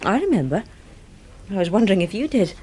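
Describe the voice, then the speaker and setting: A woman's voice: medium pitch, big pitch swings, fairly quick, mellow, husky. An actress playing a down-to-earth, sceptical young woman in a radio drama, blunt and questioning, teasing but guarded. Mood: hesitant, guarded.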